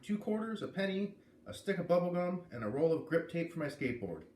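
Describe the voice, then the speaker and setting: A man doing an expressive storybook read-aloud, pausing to explain and comment as he goes. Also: high voice. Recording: echoey room with clear background noise.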